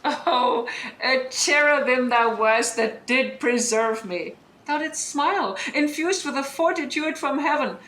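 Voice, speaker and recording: A proud exiled sorceress mother played by a woman declaims Shakespearean verse with commanding intensity. Her voice sits fairly high. The home-made audio drama recording is roomy, with faint noise behind her. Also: measured pace, fairly bright tone. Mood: grave, tender.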